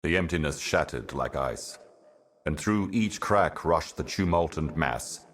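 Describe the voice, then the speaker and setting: A man voicing an ancient, otherworldly envoy in a video game, delivering cryptic monologues in a solemn, measured, portentous manner. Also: low-pitched, natural voice. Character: ancient cosmic envoy, solemn otherworldly prophet serving higher powers.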